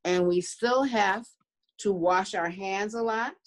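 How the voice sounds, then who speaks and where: Older woman, slow deliberate pace, teacher addressing small children, webcam mic indoors. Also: mid-range voice. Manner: deliberate, repetitive, emphatic. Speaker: early learning center educator. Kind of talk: simple explanation addressed to young children. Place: room at home, indoors.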